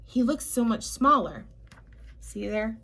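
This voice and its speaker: Female storybook reader for young children: slightly high voice, very leisurely delivery, stretches key words, talks to the listeners. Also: creaky. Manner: expressive, drawn-out emphasis with chatty asides to young listeners.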